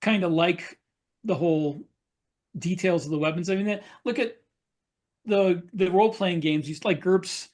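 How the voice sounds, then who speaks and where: A man speaks as a panelist in a casual discussion. His delivery is halting, with pauses while he hunts for the next word. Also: high voice, darkish tone. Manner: halting, searching for words. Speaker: podcast panelist.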